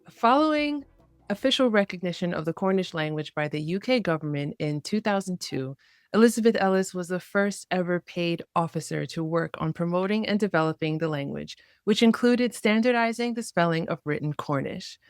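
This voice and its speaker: A woman hosting a podcast, her voice a touch low, full of eager enthusiasm as she reads out introductions and asks questions. The sound is close and dry. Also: no room sound. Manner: enthusiastic, warm interviewer. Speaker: podcast host. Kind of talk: podcast interview.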